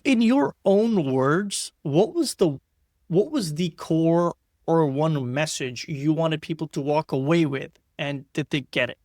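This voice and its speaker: A man's voice: fairly high pitch, expressive, a little slow, a little mellow, dry recording, studio quality. Rambling male podcast host. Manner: rambling, repeats words and restarts. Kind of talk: casual interview chat.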